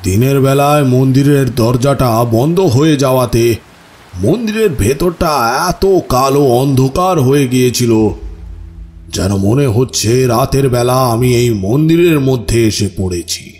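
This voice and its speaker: A man playing a village storyteller recounting his own eerie encounters, deliberate and suspenseful, in an audio horror drama. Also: mid-range voice, darkish tone, natural voice. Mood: ominous, suspenseful. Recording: music bed under the voice. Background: music.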